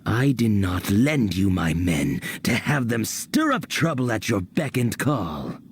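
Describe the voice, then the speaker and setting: A stern military master in a game drama. He speaks slowly with haughty, expressive disdain and measured condescension, over faint noise. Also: on the low side. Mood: cold, dismissive. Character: stern, haughty military commander, cold and dismissive. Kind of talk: dramatic video game voice acting.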